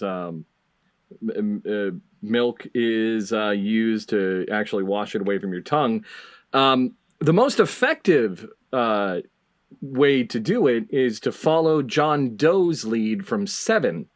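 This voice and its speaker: A man hosting a casual comedic panel quiz, explaining things in a halting, mock-scientific way. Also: lowish voice, no echo, studio quality. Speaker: quiz show host.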